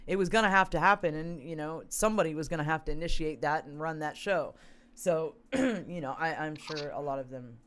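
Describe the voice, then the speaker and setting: A woman co-hosting a casual talk show, hoarse and losing her voice, reading news stories aloud with lots of fillers and throat clearing. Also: low voice, fairly quick, a bit bright. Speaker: co-host.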